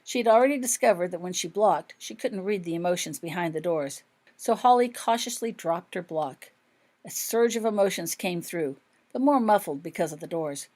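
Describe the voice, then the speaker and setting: A woman's voice: lowish voice, animated, normal speed, darkish tone. A woman reading her own fiction aloud as scripted audiobook narration.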